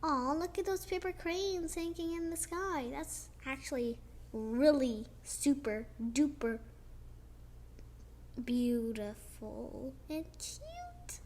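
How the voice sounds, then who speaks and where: A young girl reading a children's picture book aloud, slowly and expressively, with chatty asides about the pictures. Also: sits high, very animated, darkish tone, slight background noise. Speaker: child storyteller.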